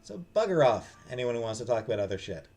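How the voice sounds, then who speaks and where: A man's voice: mid-range voice, sing-song, quick pace, a little mellow, faint music behind. A man streaming a game, reading the story aloud expressively and dropping into casual asides.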